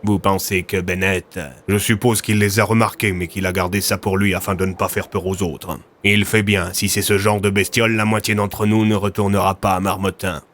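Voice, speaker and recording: A man playing a low-voiced grim expedition man. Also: level tone, normal speed, few pauses, fairly bright tone, slight background noise. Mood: grim, resigned. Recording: music under the voice.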